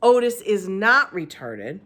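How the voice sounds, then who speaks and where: A woman's voice: mid-range voice, sing-song, slow, a bit bright. A woman voicing a feisty, earnest young girl who talks in a blunt, childlike, folksy way. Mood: angry, defiant.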